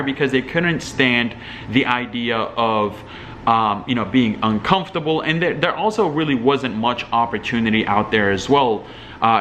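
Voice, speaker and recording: A young man from Algeria speaks in an average-pitched voice, rambling through casual commentary with lots of fillers and restarts. Faint noise hums behind him. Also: fairly bright tone. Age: young adult. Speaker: entrepreneur vlogger. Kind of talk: casual talking-head commentary.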